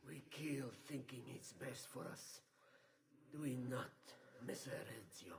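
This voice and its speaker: A man's voice: mid-range voice, lively intonation, unhurried, vocal fry. A male actor playing a treacherous, coldly mocking Venetian conspirator in a video game. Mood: smug, cold. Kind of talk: video game cutscene acting.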